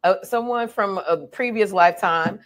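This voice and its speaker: A female podcast co-host chatting casually at a mid-range pitch. Her speech is slangy and conversational, with reflective asides. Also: normal speed. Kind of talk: casual chat.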